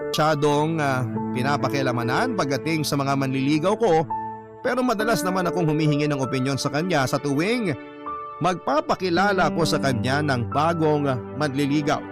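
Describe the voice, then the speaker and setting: A man reading a personal letter as scripted, dramatic first-person story narration. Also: fairly high pitch. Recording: loud music bed behind voice.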